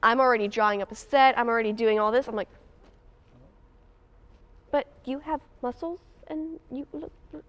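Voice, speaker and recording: A young woman chats in an interview, telling a story in casual youthful slang. Her voice is fairly high and very clean. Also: normal speed, pauses often. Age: young adult. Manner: animated quoting, youthful slang. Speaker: student actor.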